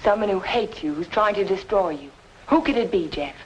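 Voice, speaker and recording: An actress playing an earnest, insistent woman in an old film noir drama, speaking emphatically and deliberately. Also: medium pitch, animated, lightly acted. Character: earnest, insistent woman reasoning with a troubled man. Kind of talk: mid-century film noir drama acting. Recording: noisy old film soundtrack, hissy.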